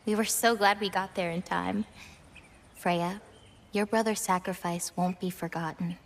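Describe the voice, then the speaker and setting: A woman voicing an earnest, gentle teenage girl in a video game, sincere and a little hesitant. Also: lowish voice, fairly even intonation, measured pace. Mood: warm, hopeful. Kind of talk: dramatic video game cutscene acting.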